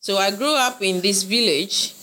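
Woman from Ghana, interview guest, earnest and bright, circles back on her points. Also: mid-range voice, normal speed, rough recording. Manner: earnest, repeats phrases, laughs often. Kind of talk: interview conversation.

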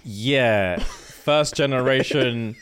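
A man's voice: mid-range voice, drawn-out words, a bit bright, clean tone. A man co-hosting a podcast who riffs, reacts with slang and jokes around casually. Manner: riffs with mock parent impressions and slang reactions. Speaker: podcast co-host. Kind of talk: casual comedic chat.

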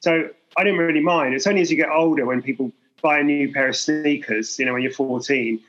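A man's voice: on the high side, fairly quick, fairly bright tone, lo-fi sound. A man from England in his forties, a guest artist talking candidly in an interview, rambling and self-deprecating with many restarts.

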